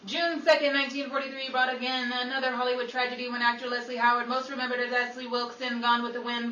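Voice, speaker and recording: A woman's voice: on the high side, bright, forceful tone. A woman playing a showy 1940s Hollywood radio newscaster, reading scripted trivia in a theatrical style. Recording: roomy, distant mic with faint noise.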